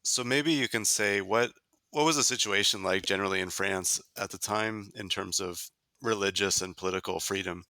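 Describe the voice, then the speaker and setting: A man hosting a podcast, opening the show and then asking relaxed, somewhat hesitant interview questions. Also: low-pitched, normal speed, no echo. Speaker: podcast host.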